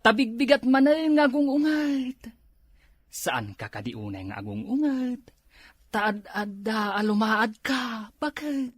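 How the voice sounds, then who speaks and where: Actor as a high-pitched, hot-headed village husband, Filipino accent. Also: male voice, sing-song, slow, dry recording, exaggerated voice. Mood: grumbling. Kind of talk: comedy drama acting.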